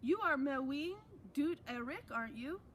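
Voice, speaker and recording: An earnest grown son in a storybook, read by a woman, speaking gently in a high-pitched voice. The recording has clear background noise. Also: lively intonation, normal speed. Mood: earnest, hopeful. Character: earnest grown son greeting his long-lost father.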